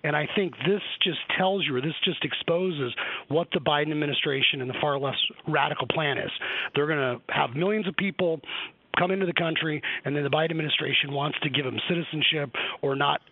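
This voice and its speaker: A man's voice: on the high side, fairly quick, dry recording. A man whose first language is Serbo-Croatian, calling in as a political guest and earnestly pressing his campaign points. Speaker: political guest, Senate candidate. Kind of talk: call-in radio interview. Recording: tinny phone line.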